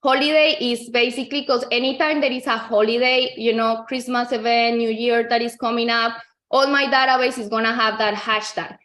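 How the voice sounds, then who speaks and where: A woman from Colombia, a native Spanish speaker with a Colombian accent, giving an informal software tutorial in a conversational, explanatory way, often repeating herself. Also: a little high, fairly even intonation, normal speed. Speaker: real estate agent giving a software tutorial. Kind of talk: informal screen-share tutorial.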